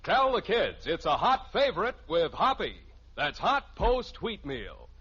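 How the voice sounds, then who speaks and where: A man's voice: sits high, wildly swooping pitch, unhurried, background noise. An American man reading a scripted 1950s radio commercial. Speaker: commercial announcer. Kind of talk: 1950s radio advertising pitch. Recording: muffled old radio recording.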